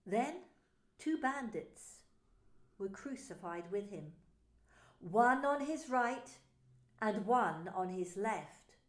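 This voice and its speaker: A woman pastor narrating a scripture story in a slow, solemn reading. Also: medium pitch, lively intonation, reverberant.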